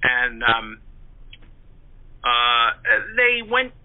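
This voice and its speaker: Older man, mid-pitched voice, articulate storyteller, interview guest, measured and wordy, tinny telephone sound. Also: big pitch swings, a little hiss or hum. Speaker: interview guest, journalist and historian. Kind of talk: reminiscing interview talk. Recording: thin, narrow telephone-like line.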